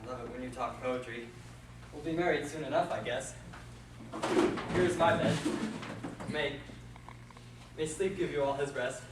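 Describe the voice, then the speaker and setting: A man's voice: medium pitch, unhurried, long pauses, close to an everyday voice. A man playing a lovestruck young suitor. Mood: tender. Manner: earnest, playful, swinging to melodrama. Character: young male lover, impulsive and lovestruck. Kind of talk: stage play acting. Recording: echoey hall, distant mic, noisy.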